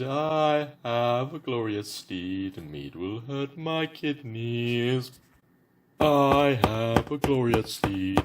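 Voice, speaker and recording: A man delivering a repetitive rhyming chant in a voice sample. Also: medium pitch. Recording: loud background noise.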